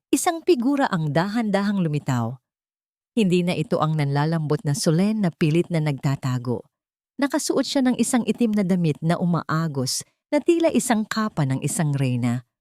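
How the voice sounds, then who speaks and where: A woman with a Filipino accent narrating a dramatic story in a measured, solemn way. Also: sits low, a little mellow, dry recording, clean studio sound. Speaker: narrator of a Tagalog audio drama.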